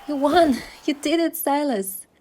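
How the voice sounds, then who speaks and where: A woman voicing an excitable, playful young heroine in love, in a romance game with first-person storytelling. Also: high voice, unhurried, slight background noise. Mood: excited. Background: music.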